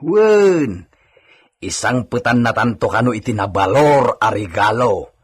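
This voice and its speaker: Man acting a husky, lively hacienda servant. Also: mid-range voice, a little slow. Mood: playful, teasing. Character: lively, teasing male hacienda servant, deferential to his masters. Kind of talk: Ilocano radio drama acting.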